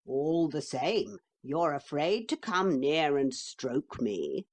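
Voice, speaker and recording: Woman doing a slow, teasing talking horse. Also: deep voice, very animated, darkish tone, full character voice. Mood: taunting.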